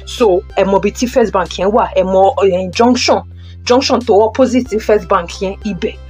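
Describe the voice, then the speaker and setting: A woman with a Nigerian accent playing a brisk, pushy male housing agent in an audio drama. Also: mid-range voice, a little mellow, faint music behind, natural voice. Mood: businesslike. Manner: hesitant starts, persuasive, businesslike. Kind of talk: audio drama acting, Yoruba-English phone conversation.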